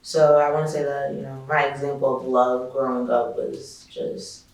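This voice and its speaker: Gravelly, hesitant young adult. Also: mid-range voice, level tone, normal speed, darkish tone. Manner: hesitant, searching for words. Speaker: interviewee. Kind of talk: reflective documentary interview. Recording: distant mic in a very echoey room.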